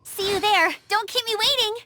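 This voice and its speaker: A woman's voice: very high-pitched, normal speed, sharp tone, a little hiss or hum. An actress playing a cheerful young female outrider who talks in an upbeat, encouraging and slightly flustered way, with game music behind her. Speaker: outrider and gliding instructor.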